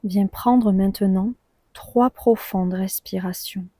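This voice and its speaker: A woman leads a guided relaxation with a soft, mellow tone. She speaks very slowly and calmly, leaving long pauses between phrases. The recording is close and dry. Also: medium pitch, dry recording. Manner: calm, soothing, unhurried with long pauses. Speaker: yoga nidra guide.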